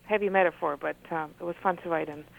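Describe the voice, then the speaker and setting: A woman whose first language is Polish, an author reading from her book and talking thoughtfully with many pauses. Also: lowish voice, steady tone, creaky. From Poland. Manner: thoughtful, hesitant with frequent ums. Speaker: author, guest. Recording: phone-like radio audio.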